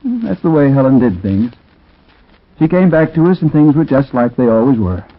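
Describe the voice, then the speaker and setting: A man's voice: medium pitch, sing-song, moderate pace, dry recording. An actor playing a troubled man who narrates an eerie story in 1940s radio drama style, reflective and conversational with hesitant asides. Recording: tinny, muffled 1940s radio recording.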